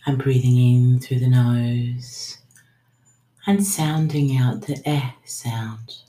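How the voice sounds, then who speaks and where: A woman leading a guided breathing meditation, giving soothing, unhurried instructions that sometimes trail into a whisper. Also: rumbling low, little pitch movement, dark tone. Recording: roomy, echoing sound.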